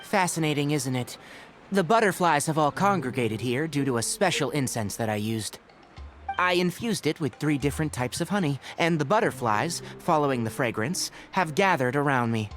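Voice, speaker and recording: An actor playing a patient young male ranger scholar in a game, measured and explanatory. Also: fairly high pitch, animated, fairly bright tone, faint music behind. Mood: explaining. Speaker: game character.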